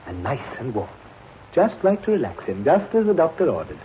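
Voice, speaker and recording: An actor playing a falsely tender, solicitous scheming husband in a 1950s radio drama, with a coaxing, attentive manner. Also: male voice, medium pitch, huge pitch swings, brisk. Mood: tenderly doting. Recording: dull, noisy old radio recording.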